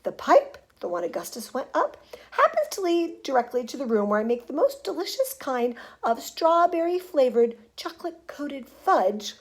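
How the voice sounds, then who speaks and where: A woman's voice: high voice, big pitch swings, moderate pace, pitched above the performer's own voice. A woman voicing an eccentric male chocolate factory owner in a children's story, theatrical, emphatic and breezily unconcerned. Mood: emphatic denial.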